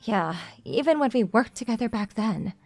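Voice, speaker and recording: A woman's voice: a little high, expressive, normal speed, darkish tone, dry recording, faint music behind. A young woman playing a confident, pushy female CEO who teases her junior in a manga dub romance. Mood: playful, fond. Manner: straightforward, teasing, self-assured.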